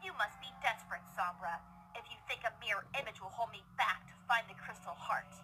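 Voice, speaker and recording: A woman's voice: mid-range voice, fast talker, lightly acted, poor audio. A woman voicing a brave, earnest young unicorn student in a comic dub, with emphatic and defiant cartoon delivery. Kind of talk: animated comic dub acting. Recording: voice under loud music.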